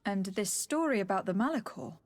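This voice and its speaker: An actress playing a noble, principled young diplomat in a video game, speaking in a poised, formal and articulate way. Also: female voice, medium pitch, expressive, brisk, dry recording, lightly acted. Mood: composed, skeptical.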